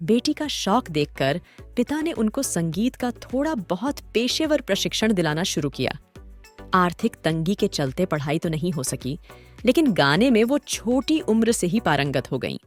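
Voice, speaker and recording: A woman's voice: medium pitch, sing-song, normal speed. A woman hosting and narrating a scripted documentary story in a dramatic, literary storytelling manner. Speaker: host and narrator. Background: background music.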